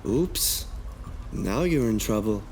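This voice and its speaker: A man's voice: lowish voice, expressive, slow, a little mellow, slight background noise. A man playing a cocky, threatening rogue fighter with an insolent manner in a dramatic fan dub.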